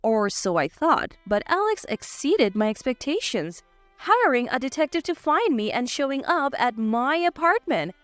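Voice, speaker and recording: A woman with a high voice narrates a scripted first-person drama. The recording is close and dry, with faint music running underneath. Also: big pitch swings, moderate pace, a bit bright, dry recording. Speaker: story narrator. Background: faint background music.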